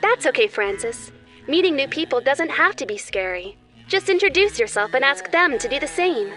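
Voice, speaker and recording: Woman playing a gentle, higher-voiced cartoon guardian angel. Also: very animated, moderate pace. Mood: kindly, encouraging. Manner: soothing, instructive, addresses the young viewer directly. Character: gentle nurturing female angel host of a children's cartoon. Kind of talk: children's educational show dialogue. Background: background music.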